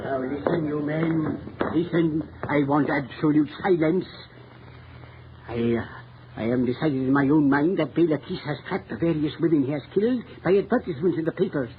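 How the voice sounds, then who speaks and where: An actor playing a determined, obsessive chief of police in an emphatic, theatrical 1930s radio melodrama style. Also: male voice, fairly high pitch, normal speed, narrow, tinny audio, close to an everyday voice. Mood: hushed, commanding. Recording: scratchy old radio recording, thin and noisy.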